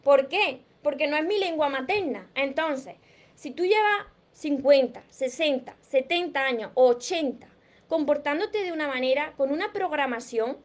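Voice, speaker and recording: A woman with a Spain Spanish accent and a notably high voice leads a live self-help stream. She explains things in a teacherly, earnest way, often repeating herself for emphasis and turning to her viewers' comments. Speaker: personal growth coach.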